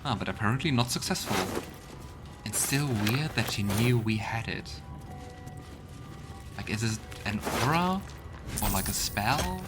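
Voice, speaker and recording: A man's voice: mid-range voice, lively intonation, unhurried, long pauses. A man giving casual let's play commentary, thinking aloud and reading game text out as he goes. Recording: game music behind the voice. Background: video game music.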